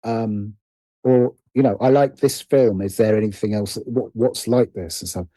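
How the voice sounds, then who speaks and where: A man's voice: on the low side, steady tone, moderate pace, mellow, dry recording. A man speaking as a guest in a casual interview, hesitant, often repeating and rephrasing as he thinks aloud. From United Kingdom. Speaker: guest, writer and producer.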